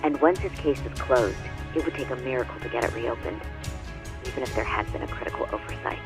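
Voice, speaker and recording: An earnest lawyer, played by a male actor, speaks in a measured and formal manner, laying things out clearly at a middle pitch. It sounds like a video game cutscene, with music clearly playing behind him. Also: lightly acted. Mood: cautioning. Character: earnest male lawyer briefing a detective colleague.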